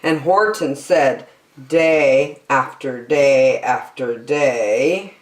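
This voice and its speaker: A woman storyteller reading a children's storybook expressively, with dramatic, deliberate phrasing. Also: rumbling low. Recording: roomy echo.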